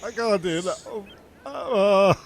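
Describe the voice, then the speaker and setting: Man playing a chirpy, excitable comic castaway. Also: high voice, slow, full character voice. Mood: boastful. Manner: excitable, jokey, chuckling. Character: chirpy, self-admiring man cast away on an island. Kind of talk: comic radio play acting.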